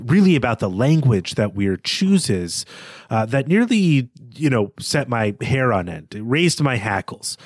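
A man's voice: mid-range voice, no room sound. Reflective man in close, dry sound. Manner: articulate, reflective, bookish phrasing. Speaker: co-host of literary discussion podcast. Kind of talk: conversational literary analysis.

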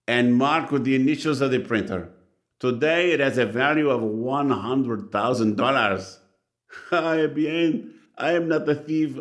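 Guy doing an old stamp dealer, really slow n savoring, gentle honest type, radio play. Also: medium pitch, lightly acted. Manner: savoring, deliberate. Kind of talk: radio drama acting.

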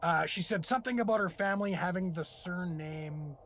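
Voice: mid-range voice, brisk, a bit bright, natural voice. An actor playing a bewildered, gullible dad and reluctant hero in an audio drama, plain-spoken, naive and exasperated. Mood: puzzled. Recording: clear background noise and ambience.

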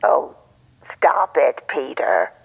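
Tender elderly aunt played by a woman, audio drama; creaky, unhurried, heard down a tinny phone line. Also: rumbling low, big pitch swings, full character voice. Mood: fond, mildly chiding. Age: older. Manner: slow, tender, reassuring. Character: gentle, affectionate elderly aunt. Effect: telephone filter.

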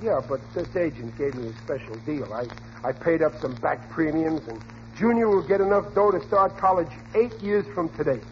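A man's voice: on the high side, moderate pace, few pauses, a little hiss or hum, close to an everyday voice. A man with an American accent playing a blustering, uneducated but well-meaning middle-aged father in a 1940s radio comedy, stammering and repeating himself, on an old muffled recording. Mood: proud, fond.

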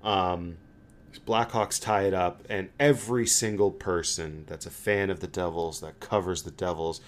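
A man hosting a sports podcast, talking casually as a fan and telling stories in an animated, stammering way. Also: deep voice, normal speed. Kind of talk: casual hockey fan chat.

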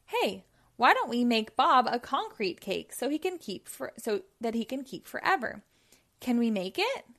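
Woman acting talking digger, keen, playful, eager, higher pitch, storybook. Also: very animated, normal speed, a bit bright.

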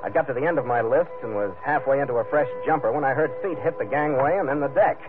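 A man's voice: medium pitch, fast, phone-quality sound, natural voice. An actor playing a tough American sea captain narrating his log in clipped, hardboiled 1940s radio adventure style. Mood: dry narration. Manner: clipped, matter-of-fact, commanding. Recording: thin vintage radio broadcast with music.

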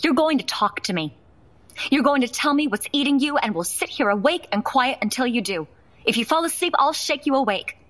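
A woman's voice: high-pitched, animated, a little fast, fairly bright tone. An actress playing a fed-up young wife and mother, blunt and confrontational, in a naturalistic audio drama. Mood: stern.

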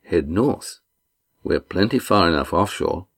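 A man's voice: sits low, animated, unhurried, breathy edge, full character voice. A male narrator voicing a proud, injured sailing master who talks in clipped, curt replies. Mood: terse.

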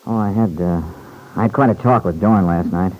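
A man's voice: deep voice, normal speed, darkish tone, close to an everyday voice, rough recording. An actor playing an earnest, loyal young man home from war in an old-time radio serial. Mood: earnest, concerned. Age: young adult. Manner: earnest, colloquial, reflective.

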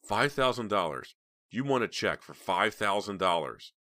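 A man's voice: fairly low pitch, sing-song, brisk, fairly bright tone, no echo, clean studio sound, pitched above the performer's own voice. A man voicing a tense, frightened young woman who hisses furious, accusing demands in an audiobook story. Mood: uneasy, reluctant.

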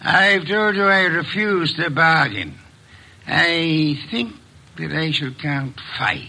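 An actor playing a fat, pompous detective in a 1950s radio drama, speaking in calm, measured, formal sentences. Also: male voice, a little high, gravelly, full character voice. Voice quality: formal, measured, elaborate diction.

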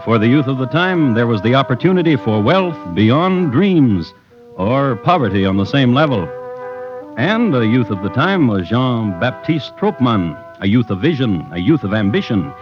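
Male narrator, dramatic scripted radio delivery, deliberate, wide inflection, music underneath, muffled vintage sound. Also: medium pitch, very animated. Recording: muffled old radio recording.

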